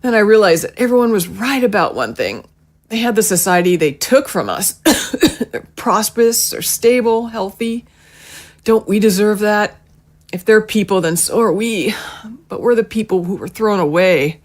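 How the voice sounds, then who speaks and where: A sick, tender mother acted by a woman in an audio drama, frail and loving. Her pitch moves widely as she sighs and coughs her way through her speech. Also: lively intonation, normal speed, close to an everyday voice. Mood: reflective, ailing. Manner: weak, breathy sighs and coughing fits. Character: gravely ill, gentle, loving mother.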